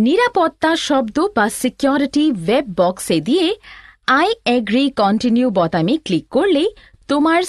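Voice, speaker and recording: A woman voicing an instructive elder sister in an animated lesson, giving deliberate, scripted step-by-step tutorial narration. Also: high-pitched, no room sound, studio quality.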